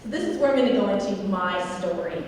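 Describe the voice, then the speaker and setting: A woman's voice: medium pitch, expressive, fast talker, darkish tone. Woman in her forties, echoing hall. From Iowa, United States. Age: 40s. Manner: reads from prepared remarks with frequent small restarts and self-corrections. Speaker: veteran speaker at a ceremony. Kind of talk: prepared speech at a memorial ceremony. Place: large university event hall. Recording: echoey hall from far off, faint noise.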